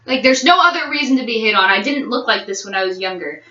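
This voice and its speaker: Bright giggly young woman, roomy far sound. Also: a little high, expressive, quick pace. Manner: giggly, rambling, self-mocking. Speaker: channel co-host. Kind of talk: casual chat game with friends. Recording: dull roomy sound from a distant mic.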